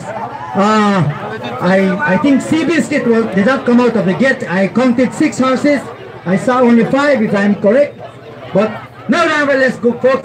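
A middle-aged man giving live horse race commentary outdoors at a track, speaking into a handheld mic and rising to shouts as the horses run. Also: very high-pitched, low-quality recording. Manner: excitable, rising to shouts at the finish. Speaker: race announcer. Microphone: handheld mic held close.

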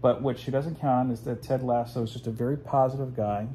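A man's voice: medium pitch, steady tone, quick pace, dark tone, a little hiss or hum. A man in his forties, hosting a casual home video, talking in a rambling, chatty way with plenty of fillers. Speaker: host. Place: living room at home, indoors.

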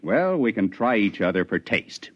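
A man's voice: fairly low pitch, very animated, normal speed, slightly rough. An actor playing a confident, blunt frontiersman with a folksy manner, in 1950s radio drama style. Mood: confident, wooing. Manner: blunt, folksy, self-assured. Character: brash, confident, plain-spoken male Venusian frontiersman stowaway. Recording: dull 1950s radio recording.

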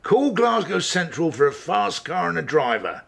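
An actor playing a grumpy, conceited, bullying older chief inspector in a radio drama, blunt and sarcastic, with a gravelly voice. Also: male voice, fairly high pitch, big pitch swings, moderate pace, a bit bright, heavily acted. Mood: smug, explaining.

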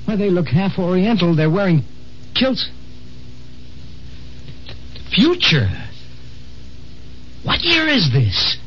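A man's voice: sits high, wildly swooping pitch, takes it slowly, long pauses, heavily acted. An actor in 1950s radio drama playing an unsentimental dying man who travels through time. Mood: bewildered, agitated. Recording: muffled, noisy old radio recording.

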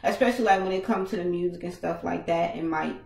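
Raspy laid-back woman podcast host. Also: lowish voice, fast, a little mellow, reverberant. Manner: laid-back, slangy, talks to viewers in chat. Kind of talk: casual livestream chat reading comments. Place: small home studio with foam panels, indoors. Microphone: over-ear headset mic.

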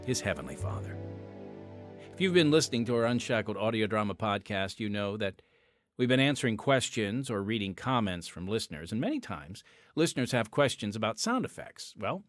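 A male podcast host speaking in a friendly, chatty way. His pitch moves a lot as he talks. The studio sound is dry and close. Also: sing-song, a little fast, no room sound, soft background music. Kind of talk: podcast host segment and announcements.

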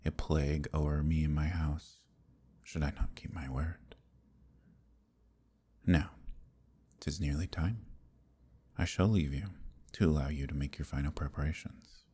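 A man playing a proud, possessive fae prince in an intimate ASMR roleplay, husky and breathy, with measured, courtly speech that dips into whispers. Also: rumbling low, steady tone, warm tone, full character voice. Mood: adoring. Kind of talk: ASMR roleplay, intimate archaic theatrical acting.

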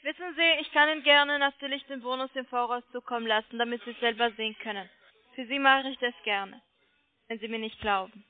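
A woman telemarketer making a sales pitch over the phone, persistent and polite, coaxing with check-back questions. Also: a little fast. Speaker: telemarketing caller selling online trading. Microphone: telephone call. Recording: muffled phone line.

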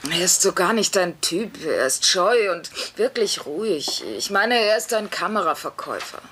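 A woman's voice: medium pitch, animated, normal speed, fairly bright tone, lightly acted. An actress playing a blunt, wisecracking middle-aged mother in a German-dubbed sitcom, sardonic and bossy. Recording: noisy TV sitcom soundtrack.

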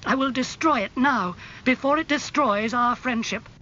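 Earnest male painter, acted by a narrator, wounded devotion, animated pitch, storybook dialogue, dull recording. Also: moderate pace, a little hiss or hum. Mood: bitter. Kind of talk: dramatised audiobook dialogue.

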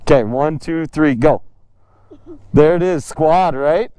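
Laid-back young man, mellow voice. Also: on the high side, slow pace, clean tone, no room sound. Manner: laid-back, joking, laughs often. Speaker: motovlogger. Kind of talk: casual vlog chat. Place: outdoors on a residential street. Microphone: helmet-mounted action camera.

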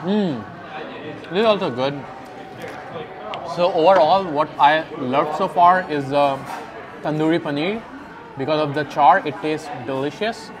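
A young man with a fairly high voice gives a relaxed, casual food review, naming each dish between bites. He is recorded on a clip-on mic at his table. Loud chatter from other diners fills the background. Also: a little slow, long pauses. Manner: relaxed, describes each dish while tasting and chewing. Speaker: food vlogger host. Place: busy indoor restaurant dining room. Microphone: clip-on lapel mic. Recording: restaurant crowd chatter behind.

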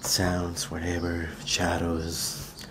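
A young man vlogging as he explores an abandoned house, talking excitedly to his viewers, recorded on a handheld camera. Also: low-pitched, extremely slow, noisy background. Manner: excited, addresses viewers as fams. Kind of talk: casual exploring vlog. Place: outdoors and inside an abandoned rural house. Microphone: handheld camera at arm's length.

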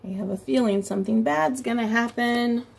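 Casting: middle-aged female preschool teacher for storytime, highish voice with gravelly creak, expressive and explanatory. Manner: expressive, drawn-out story phrases with simple explanatory asides. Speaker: preschool teacher reading aloud. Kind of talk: picture-book read-aloud for young children. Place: small room at home, indoors by a window.

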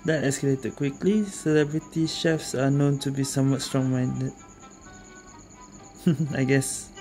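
A woman's voice: extremely low pitch, steady tone, measured pace, dark tone. A woman giving casual commentary on a video game as it plays. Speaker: gamer commentator. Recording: game music and sounds behind voice.

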